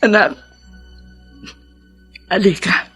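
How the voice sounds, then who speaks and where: A woman's voice: a bit bright, faint music behind. A woman playing a tearful, tender, ailing mother in a radio drama. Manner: tearful, tender, drawn-out delivery. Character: ailing, loving mother in pain, tearful, weary. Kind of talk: Filipino radio drama acting.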